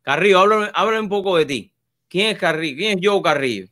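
A man hosts a casual interview and nudges his guest along with questions. His voice is bright and sits a little high. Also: animated, brisk. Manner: prompting, conversational. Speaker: interview host.

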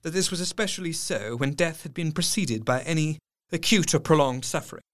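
Actor as a solemn gentleman doctor, higher-pitched voice. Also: close to an everyday voice. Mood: sombre, tender. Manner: measured, literary, solemn. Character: grieving young doctor narrating his diary, gentle and sombre. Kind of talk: dramatic first-person audio drama narration. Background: music.

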